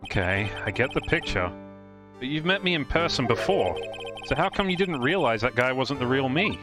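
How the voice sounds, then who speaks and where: A man's voice: mid-range voice, sing-song, moderate pace, over music, natural voice. A man voicing an exasperated, earnest young defense lawyer in a live fan dub of a video game, questioning in a dry, incredulous way.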